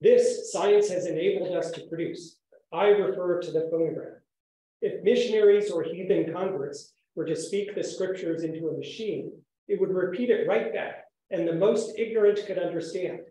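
Echoey male lecturer with a mellow voice. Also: high-pitched, moderate pace. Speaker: academic lecturer. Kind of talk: academic conference talk with read-out quotations. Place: echoey indoor room, speaker standing while presenting. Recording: very echoey room sound.

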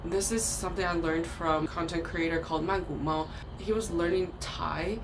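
Young female vlogger, Chinese accent, lower register, earnest explainer tone, outdoor hiss behind her. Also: steady tone, normal speed. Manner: earnest, explanatory, occasional ums and restarts. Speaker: language vlogger. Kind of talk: casual talking-head vlog. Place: outdoors by a brick wall.

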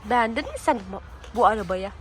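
A woman's voice: a little high, big pitch swings, fast talker, over music. A woman talking as a doting mother to her small child, in casual child-directed chat full of prompting questions. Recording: clearly noisy background.

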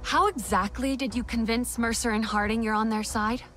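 Woman playing a high-voiced, wary young captive. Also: fairly even intonation, moderate pace, a bit bright, slight background noise, lightly acted. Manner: questioning, guarded. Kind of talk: dramatic game cutscene acting.

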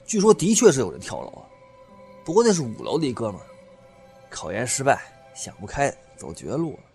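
Animated young student played by a man. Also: medium pitch, fairly quick, creaky, faint music behind. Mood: spooked. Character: chatty young male student spreading eerie news. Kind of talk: audio drama acting.

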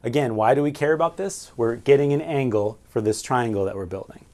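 Man in his forties, mid-pitched, a navigation instructor working through problems step by step at a whiteboard. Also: a little fast, a little mellow. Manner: explains step by step, asks and answers his own questions. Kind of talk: whiteboard lesson. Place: small room with framed pictures and signal flags.

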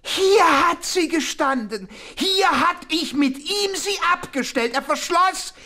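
An actor playing a frightened, fussy gentleman in an audio drama, shrill and panicky. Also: lively intonation, slow, exaggerated voice. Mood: panicked. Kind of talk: German audio drama acting.

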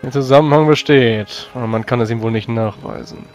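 A man speaking in character in a tabletop role-playing game, with formal, measured phrasing. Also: mid-range voice, soft background music. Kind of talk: in-character improvised game dialogue.

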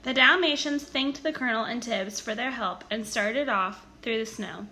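A woman reading a children's story aloud in an expressive, animated storytelling manner with emphatic stresses. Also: fairly high pitch, normal speed, slight background noise. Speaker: storybook reader.

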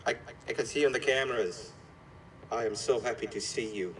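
A man voicing a scheming cartoon monster mascot in a video game, falsely friendly and quietly menacing, in an ingratiating conversational manner with hesitant pauses. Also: mid-range voice, far from the mic, close to an everyday voice. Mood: eager, relieved. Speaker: game character guiding the player. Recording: echoey playback filmed off a screen, noisy.

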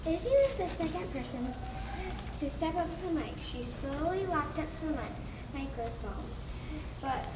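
A woman's voice: sits high, sing-song, moderate pace. A woman reading scripted story narration as the narrator of an amateur show. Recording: echoey, very noisy room from far off.

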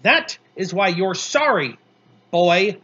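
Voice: mid-range voice, slow, sharp tone, breathy edge, dull audio, exaggerated voice. A narrator voicing an angry, bossy young girl from a storybook, with snappish, emphatic scolding. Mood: furious, scolding.

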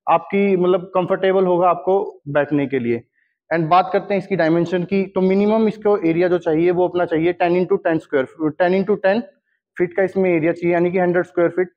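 High-voiced Indian man in echoey showroom. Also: a little mellow. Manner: explanatory, Hindi mixed with English terms. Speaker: gym equipment seller. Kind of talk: product demo walkthrough. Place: gym equipment showroom. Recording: long hall reverb, dull lo-fi sound.

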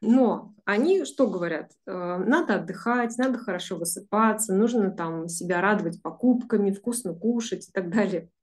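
A woman's voice: mid-range voice, expressive, moderate pace, few pauses, a little mellow. A woman in her forties, a business psychologist, earnestly explaining to a group over a video call, with many fillers. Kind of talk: online group talk, explaining.

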